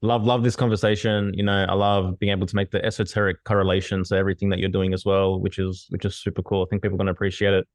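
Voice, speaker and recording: A man's voice: low-pitched, little pitch movement, rapid-fire, few pauses, dry recording, clean studio sound. A man co-hosting a podcast, talking with enthusiasm in a motivational way and swearing casually. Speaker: podcast co-host.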